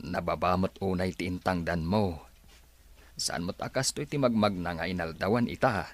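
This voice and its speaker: Deep-voiced, weary farm labourer played by a man. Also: normal speed. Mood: aggrieved. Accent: Ilocano. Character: poor, weary farm labourer and father, resigned. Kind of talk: Ilocano radio-style drama acting.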